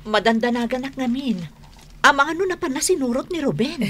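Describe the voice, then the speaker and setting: Worried, animated radio drama sweetheart. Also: female voice, sits high, fairly quick, a little hiss or hum. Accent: Ilocano. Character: worried woman Celia, Ignas's sweetheart, respectful and anxious. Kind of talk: Filipino radio drama acting.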